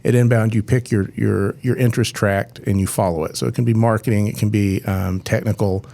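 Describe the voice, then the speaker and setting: A man's voice: on the low side, fairly even intonation, a little fast, vocal fry, warm tone. A man co-hosting a podcast, explaining things in casual chat with frequent restarts. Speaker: podcast co-host.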